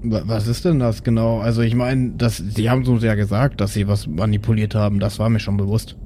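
Man playing a muddled, halting spaceship captain. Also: fairly low pitch, level tone, mellow, a little hiss or hum, lightly acted. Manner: halting, muddled, casual, repeats himself. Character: sleepy, bumbling male spaceship captain and doctor. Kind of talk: improvised audio drama.

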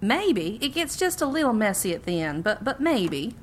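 A woman's voice: mid-range voice, sing-song, moderate pace, slight background noise, lightly acted. A woman playing a folksy, kindly housekeeper with a doting, hesitant manner, in old-time radio comedy style. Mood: puzzled.

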